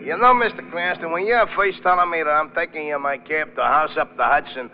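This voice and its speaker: An actor playing a loyal, wordy New York cab driver in street slang with mock-formal phrasing, in an old radio mystery. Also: male voice, on the high side, steady tone, a little fast, close to an everyday voice. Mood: wary, droll. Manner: mock-formal street talk in present tense. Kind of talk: 1940s radio acting. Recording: muffled, noisy old radio recording.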